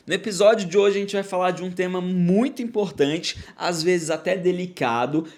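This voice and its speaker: A man hosting an education podcast, explaining in a didactic way and often checking in with the listener. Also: sits high. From Brazil. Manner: didactic, often tags sentences with "tá?". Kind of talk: explanatory solo talk.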